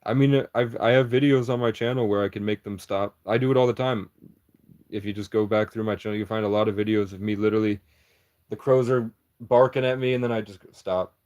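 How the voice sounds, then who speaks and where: Man, lowish voice, livestreamer riffing at chat, conspiratorial and meandering, cuts himself off a lot. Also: fairly even intonation, fairly quick, a little mellow.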